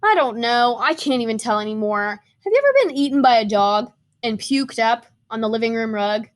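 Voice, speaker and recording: A woman's voice: fairly high pitch, expressive, fairly quick, close to an everyday voice. A woman voicing a traumatized, chewed-up storybook crayon in a melodramatic, miserable way. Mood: horrified, woeful.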